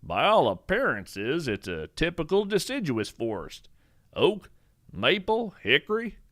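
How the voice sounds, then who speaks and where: A man's voice: on the high side, wildly swooping pitch, a little slow, fairly bright tone, dry recording, studio quality, full character voice. Man playing an eccentric, musing naturalist, widely swooping delivery. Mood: curious, musing. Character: eccentric male naturalist host, musing, earnest, whimsical. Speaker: podcast host and narrator. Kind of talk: scripted fiction podcast narration with poetry readings.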